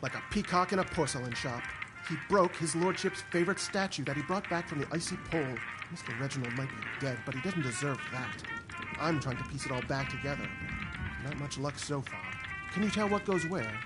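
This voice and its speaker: A man's voice: fairly high pitch, animated, a little fast, over music, natural voice, poor audio. A man playing a grumpy, put-upon servant in an animal mystery video game, grumbling irritably. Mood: grumbling, aggrieved. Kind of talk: scripted game character dialogue.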